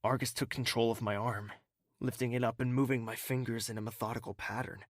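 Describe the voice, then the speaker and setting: A man's voice: mid-range voice, little pitch movement, a little fast, no room sound, lightly acted. Man playing a troubled young prince, dry close sound. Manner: earnest, hesitant, introspective. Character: troubled young royal man, tall, self-doubting, protective, earnest. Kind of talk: dramatic audio drama acting.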